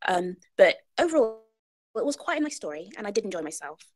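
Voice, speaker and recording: A woman's voice: mid-range voice, sing-song, fast. A woman chatting casually as she gives feedback, apologetic and tentative in her manner. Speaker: table read participant giving feedback. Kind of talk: casual chat.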